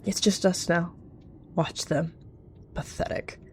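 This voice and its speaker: A woman's voice: fairly low pitch, fairly even intonation, slow pace, slight background noise. A woman voicing an evil rogue cat, intense, possessive and scornful, in a roleplay drama over music. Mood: cold contempt.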